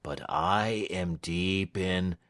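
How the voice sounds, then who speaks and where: A man voicing a moody, impatient ruined gentleman who speaks in a quiet, brooding way. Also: deep voice, extremely slow, heavily acted.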